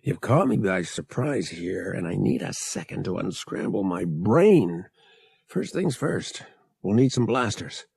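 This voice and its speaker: A man's voice: deep voice, sing-song, unhurried, vocal fry, a little mellow, husky, no room sound, studio quality, full character voice. A man voicing a casual, practical young smuggler pilot in an audiobook, slangy and wry, dropping word endings. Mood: calming, brisk. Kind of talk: audiobook character voice.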